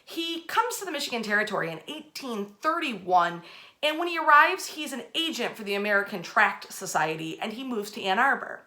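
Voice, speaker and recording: A woman's voice: on the high side, very animated, fairly quick, pushed and bright. A woman hosting a history storytelling session, speaking conversationally and repeating phrases for emphasis. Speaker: host, storyteller.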